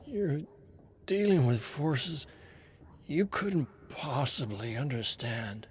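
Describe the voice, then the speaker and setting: Man voicing a strained, halting, battered old man. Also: mid-range voice, big pitch swings, a little hiss or hum, pitched above the performer's own voice. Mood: defiant, strained. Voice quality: strained, struggling with every word. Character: battered, defiant old man, former agent.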